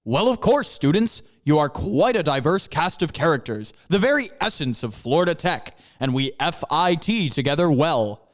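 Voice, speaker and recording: A heroic panther scientist, played by a man, who lectures his students with proud good cheer. His voice rises and falls in a lively way and sits a little high. It fits an amateur comedy audio drama. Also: moderate pace. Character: cheerful male panther mascot scientist, a hero.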